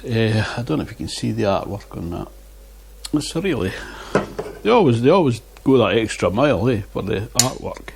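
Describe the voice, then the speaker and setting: A man's voice: mid-range voice, sing-song, normal speed, vocal fry, a little hiss or hum. A man reviewing beer in a casual vlog, chatting and muttering asides to himself on a lapel mic. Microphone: wireless lapel mic.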